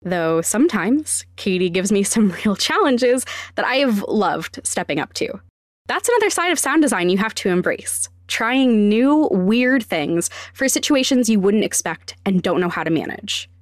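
A woman's voice: mid-range voice, big pitch swings, normal speed, no echo, clean studio sound. A woman hosting a podcast, giving scripted explanations with wry, self-deprecating asides. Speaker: podcast host and sound designer.

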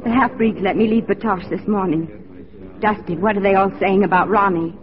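A woman's voice: mid-range voice, fairly even intonation, brisk, natural voice. An actress playing an earnest, worried young frontier woman, urgent and imploring in 1940s radio style. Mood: anxious. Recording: muffled 1940s radio recording.

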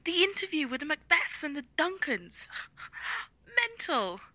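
A woman's voice: sits high, very animated, unhurried, pushed and bright, dry recording, exaggerated voice. An actress in a radio play as a blunt, spiteful woman gossiping about the news. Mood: gossipy, spiteful.

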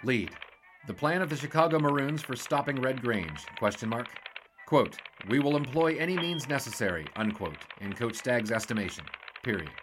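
A man's voice: mid-range voice, noisy background, lightly acted. An American man playing a wisecracking, flirtatious 1920s newspaper sportswriter in a period audio drama. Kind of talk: 1920s period radio-style acting.

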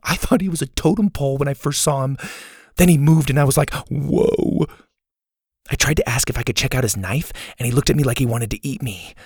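A man voicing an awkward, modest young boy in a children's audiobook, with a quick, self-conscious delivery. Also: medium pitch, husky, no echo, clean studio sound, voice pushed up. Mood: excited.

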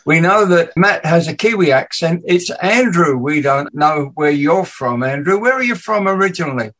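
A man with an English accent mixed with Danish hosts a relaxed conversation. His voice sits fairly high, and he rambles a little as he works through his thoughts. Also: normal speed. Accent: English with Danish mix. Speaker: podcast host. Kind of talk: casual chat.

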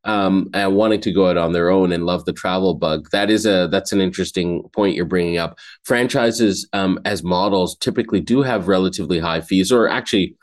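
A man with a very low voice hosts a podcast interview over a call. He gushes with praise and rambles, full of fillers and restarted phrases. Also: brisk, few pauses. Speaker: podcast host.

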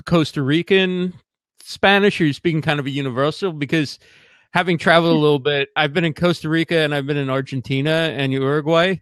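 A man hosts a live video interview, reading questions from viewers in a loose, meandering way. His voice sits a bit high for a man, and the sound is close and dry with no room echo. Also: fairly quick, smooth. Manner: relaxed, hesitant with fillers, reads viewer questions. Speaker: host. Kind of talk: livestream interview.

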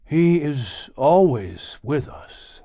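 A man playing a gentle, sorrowful old biblical patriarch in an audiobook, speaking gravely and with measured care. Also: fairly high pitch, sing-song, dry recording, clean studio sound, pitched above the performer's own voice, heavily acted. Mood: solemn. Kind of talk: audiobook character acting.

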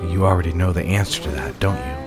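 A devoted, guarded husband from a romantic period radio play, played by an actor. His man's voice is very low and formally courteous, with measured endearments over clearly audible music. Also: close to an everyday voice. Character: devoted, guarded new husband with troubled past. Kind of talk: audio drama dialogue, period romance.

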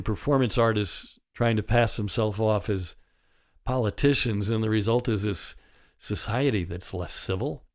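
Raspy sixty-year-old man hosting a podcast. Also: on the low side. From United States. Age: 60s. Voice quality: raspy from a partially paralyzed vocal cord. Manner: candid, wry and conversational, with chuckles. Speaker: podcast host. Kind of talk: reflective solo podcast monologue.

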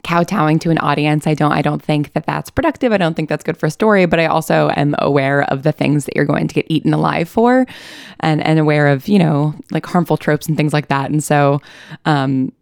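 A woman's voice: sits low, brisk, vocal fry, a little mellow, dry recording, clean studio sound. A woman talking casually and informally as an interviewed writer, chatty and given to laughing lightly as she speaks. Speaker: writer and co-producer being interviewed.

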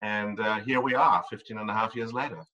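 Brief: male tour operator, bright edgy tone, chatty and meandering, sitting back from the mic. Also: fairly low pitch, fairly even intonation, brisk, recorded from a distance, lo-fi sound. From Ireland and Germany. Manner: rambling, with frequent ums. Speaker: tour company owner. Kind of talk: conversational interview answers.

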